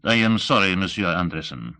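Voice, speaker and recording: An immense French hotel concierge, played by a male narrator, speaks with a French accent in a low-pitched storybook performance. He is pompous and florid, dignified and slyly flattering. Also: brisk, no echo. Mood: dispassionate.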